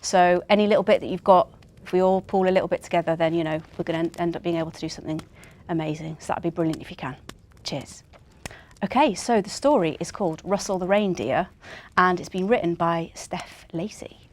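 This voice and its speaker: A woman's voice: on the low side, fairly quick, darkish tone. A woman reading a children's story expressively.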